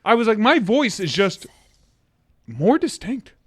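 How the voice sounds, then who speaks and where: A man's voice: big pitch swings, measured pace, slightly rough, no room sound, studio quality. A man co-hosting a podcast in casual chat, speaking with lots of inflection and laughing often. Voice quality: naturally deep voice. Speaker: podcast co-host.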